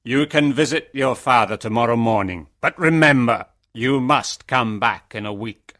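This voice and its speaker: A man voices a kind, sorrowful fairytale Beast who pleads for love. His delivery is deliberate and unhurried, with a tense, forceful tone at a middling male pitch. The phrasing stays simple and earnest, as in a storybook for learners.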